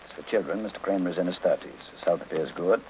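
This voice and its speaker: A low-voiced, imperious older detective, played by a man, formal and supremely sure of himself. He lectures crankily in a vintage radio drama, on a muffled recording with background hiss. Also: racing, dark tone, natural voice. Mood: confident, lecturing. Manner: pompous, formal, precise diction. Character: pompous, cranky older male master detective, supremely self-assured. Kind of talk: 1940s radio mystery acting.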